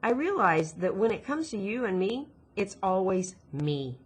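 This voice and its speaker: A woman's voice: medium pitch, big pitch swings, a little slow, husky, full character voice. A woman voicing an anxious, bookish girl who is guarded and self-critical in a storybook reading. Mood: confessional, remorseful.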